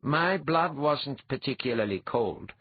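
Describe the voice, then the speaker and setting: A man's voice: medium pitch, expressive, unhurried, breathy edge, dry recording, phone-quality sound, pitched above the performer's own voice. A man voicing a wry, commanding mentor with a dry, instructive manner in an audiobook story. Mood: dry, calm.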